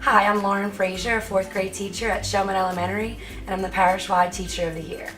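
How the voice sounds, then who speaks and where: A woman's voice: mid-range voice, level tone, fast, over music, poor audio. A woman who teaches fourth grade, giving earnest, explanatory interview answers about her teaching.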